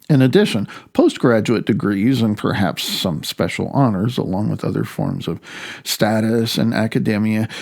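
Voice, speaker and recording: A man narrates nonfiction as the author of the book. His voice is mid-pitched with plenty of gravelly fry. He phrases things deliberately, drawing out words he wants to stress. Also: darkish tone. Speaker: author reading own audiobook.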